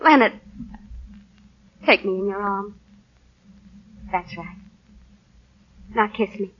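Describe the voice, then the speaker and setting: An actress playing a devoted, encouraging young wife who can be firm, in 1940s radio drama style. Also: female voice, mid-range voice, takes it slowly, a little hiss or hum, natural voice. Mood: tender, reassuring. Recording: muffled 1940 radio broadcast.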